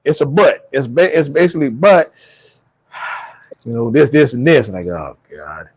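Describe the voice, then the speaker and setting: Animated, husky-voiced man co-hosting a chat. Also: mid-range voice, moderate pace, no room sound. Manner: emphatic, informal, rhetorical questions. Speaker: talk show co-host. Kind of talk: casual, animated panel chat.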